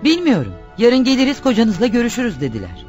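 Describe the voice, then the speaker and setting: Radio play wife: reproachful, insistent, sarcastic, very animated delivery, faint music underneath. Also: female voice, a little high, fast talker. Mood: matter-of-fact. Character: nagging, reproachful wife pushing her jobless husband. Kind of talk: radio theatre acting.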